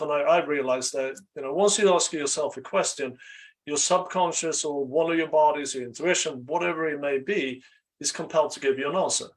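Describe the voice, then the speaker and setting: Thoughtful, halting man from Sweden. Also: on the high side, low-quality recording. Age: middle-aged. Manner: halting, thoughtful, often checks with "right?". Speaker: podcast guest, life coach. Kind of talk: reflective interview conversation.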